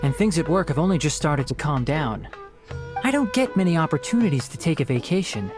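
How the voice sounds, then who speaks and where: An actor playing a gentle, sensible young academic in a video game, articulate and matter-of-fact. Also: male voice, on the high side, very animated, quick pace. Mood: friendly, matter-of-fact. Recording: game music underneath.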